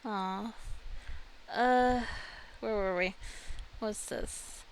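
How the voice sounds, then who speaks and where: A woman talks through a game in a casual, slow-paced way, with a mid-range voice. She thinks aloud and reacts as she browses, like a streamer hosting her own video. There is faint noise in the recording. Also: medium pitch, poor audio.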